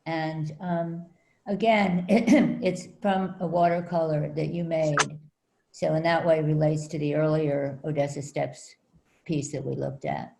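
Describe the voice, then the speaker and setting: Woman, interviewer, deep voice, dark and gravelly tone, halting questions. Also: level tone, slight background noise, poor audio. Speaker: interviewer, editor at large. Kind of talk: conversational interview.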